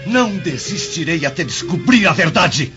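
An actor playing a cruel, commanding Roman guard chief in a radio drama, scornful and theatrical. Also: male voice, on the high side, big pitch swings, normal speed, bright, forceful tone, full character voice. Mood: furious. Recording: dull recording with music behind. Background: music.

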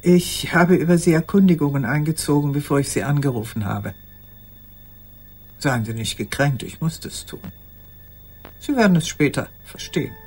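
An old woman, deliberate and guarded. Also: low voice, darkish tone, husky, soft background music. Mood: businesslike. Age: older. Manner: deliberate, formally polite, addressing a silent listener. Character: old woman in a care home, matter-of-fact, guarded. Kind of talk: radio drama monologue.

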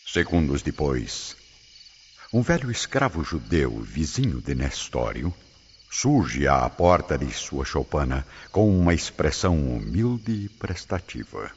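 A man narrating a radio drama in a measured, dramatic way. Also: a bass voice, very animated, breathy edge. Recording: dull audio with loud music.

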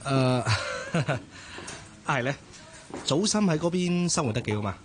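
Actor as a genial, courteous Hong Kong gentleman. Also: medium pitch, expressive, unhurried, background noise, close to an everyday voice. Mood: friendly. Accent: Hong Kong Cantonese. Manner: polite, genial, gently flirtatious. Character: courteous, bookish gentleman, friendly and attentive suitor. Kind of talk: Cantonese radio drama acting.

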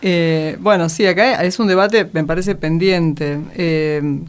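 A woman with a Rioplatense Spanish accent explaining as an expert radio guest, deliberate, often checking with short tag questions. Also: lowish voice, clear, resonant tone. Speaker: interview guest, academic expert. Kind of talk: explanatory radio interview talk.

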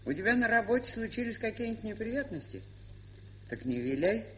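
Stubborn old auntie, husky, blunt n folksy, really lively pitch, old noisy radio play recording. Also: female voice, brisk. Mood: probing. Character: gruff, stubborn, kind-hearted old aunt, plain-spoken and scolding. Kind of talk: Soviet radio play acting.

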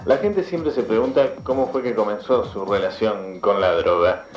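A man with an Argentine accent asking questions in a deadpan, mock-serious interview style. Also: on the low side, moderate pace. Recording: roomy, muffled, faint music.

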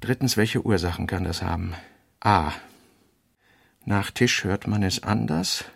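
Man, radio-play narrator, gravelly voice, measured clipped delivery, studio reading. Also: lowish voice, a bit bright.

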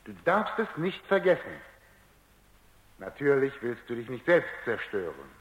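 Patronising scientist with swooping pitch. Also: male voice, big pitch swings, a little slow, muffled recording. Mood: patronising, instructive. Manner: condescending, stammers when flustered. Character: defensive, patronising male scientist, testy under questioning. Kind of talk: German radio drama acting. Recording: echoey, reverberant radio drama recording.

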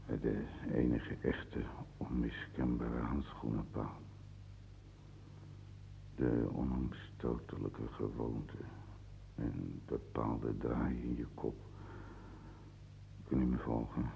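A man's voice: flat delivery, slow, long pauses, dark tone, exaggerated voice, rough recording. An actor playing a dead old drunkard in a radio play, rambling theatrically and mocking himself as he buttonholes the listener. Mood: musing, rambling. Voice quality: very deep, gravelly. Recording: old radio recording with noise.

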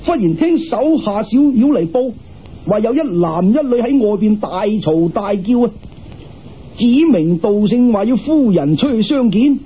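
声音高而沙哑嘅粤语男说书人. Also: male voice, high-pitched, lively intonation, measured pace, husky. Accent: Cantonese. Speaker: storyteller. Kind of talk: animated folk-tale storytelling. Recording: dull, muffled radio-style recording.

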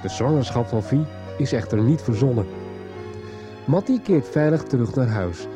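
A male radio serial narrator with a mid-range voice reads a scripted recap. He sounds like a deliberate storytelling announcer, with music clearly playing underneath. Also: mid-range voice, lively intonation.

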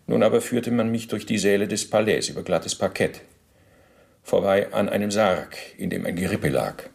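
Former military officer under interrogation, deep gravelly voice, bureaucratic, precise, self-justifying, radio drama. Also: male voice, normal speed, a little mellow. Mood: matter-of-fact, self-justifying. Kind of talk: radio drama testimony, recounting at length.

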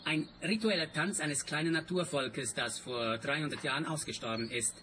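A man's voice: a little high, moderate pace, sharp tone, a little hiss or hum. A man playing an earnest, obsessive eccentric in a radio play, rapt and solemnly emphatic. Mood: rapt, fervent. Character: earnest, obsessive eccentric male seeker of mystical secrets.

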